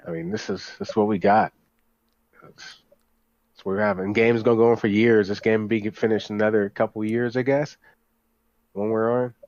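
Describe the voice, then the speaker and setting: A man with a fairly low voice chats loosely as a guest on a gaming podcast. He rambles and doubles back on his words. The sound is dry and a little dull. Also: level tone, normal speed, pauses often, darkish tone, no room sound. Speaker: podcast guest, tabletop game player. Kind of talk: casual chat.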